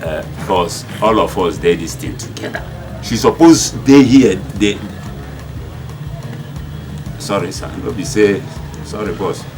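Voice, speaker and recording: A man's voice: fairly low pitch, expressive, a little slow, long pauses, music in the background. An actor playing a nervous Nigerian underling apologizing to his boss.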